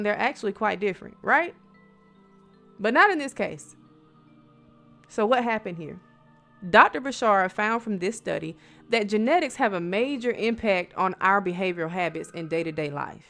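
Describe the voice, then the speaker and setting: An American woman with a creaky, fry-edged voice hosts a spooky bedtime story. She talks to listeners like friends, leaning on dramatic emphasis and rhetorical questions. Music plays behind her medium-pitched voice. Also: fairly bright tone.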